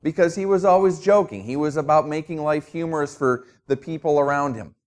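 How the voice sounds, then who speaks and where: A middle-aged man with a New Jersey accent gives a sermon as a visiting pastor. His voice sits somewhat high for a man, and he talks conversationally, slipping in jokes at his own expense. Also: brisk. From Minnesota. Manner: conversational, self-joking. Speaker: guest pastor.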